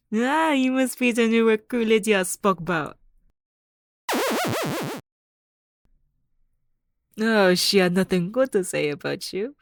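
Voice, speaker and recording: Actress as female professor, medium pitch, courteous, formal, composed, gently teasing, audio roleplay, faint background noise. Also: vocal fry, lightly acted. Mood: polite, amused.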